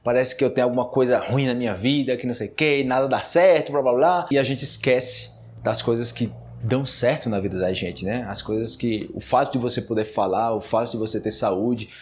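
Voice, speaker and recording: A man's voice: mid-range voice, fairly quick, slight background noise. Brazilian man hosting a chatty podcast. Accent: Brazilian Portuguese. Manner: animated, rises sharply when acting out quoted remarks; conversational, with frequent tag questions. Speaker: podcast host teaching Portuguese. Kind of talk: casual solo podcast monologue.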